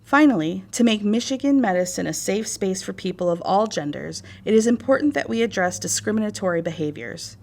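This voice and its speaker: A woman with an American accent reading scripted instructional narration in a measured, formal way. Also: mid-range voice, lively intonation, vocal fry, darkish tone. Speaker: training narrator.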